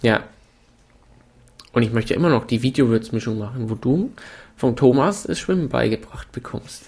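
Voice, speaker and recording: A man's voice: mid-range voice, expressive, normal speed, a little mellow, husky. A man with a slight cold, co-hosting a casual chat and teasing his partner. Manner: teasing, joking, laughs often. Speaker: podcast co-host. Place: indoor room with a camera.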